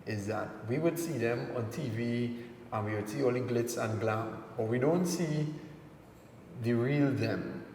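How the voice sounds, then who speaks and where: A man from Trinidad and Tobago with a Trinidadian accent, chatting casually as an interview guest, relaxed and quick to laugh. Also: mid-range voice. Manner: relaxed, laughs easily, slows down to speak carefully. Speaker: entertainer guest. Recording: echoey room with long reverb, faint noise.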